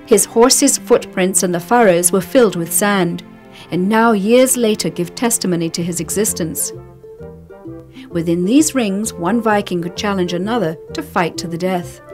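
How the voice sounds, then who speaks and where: A woman reading scripted travel documentary narration in a measured, descriptive way. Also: mid-range voice, a little mellow. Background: background music.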